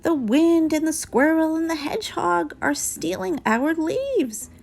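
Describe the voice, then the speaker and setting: A woman's voice: extremely high pitch, lively intonation, unhurried, creaky, a little mellow, voice pushed up, full character voice. A woman voicing an earnest, worried young male fox cub who pleads and protects in a storybook reading. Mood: alarmed, pleading.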